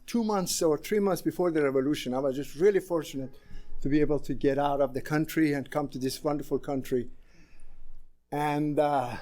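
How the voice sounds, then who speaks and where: Man in his sixties, Iranian accent, higher voice, halting conference talk. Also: a little mellow. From Iran. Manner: halting, many fillers and restarts. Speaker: conference speaker. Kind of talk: conference presentation.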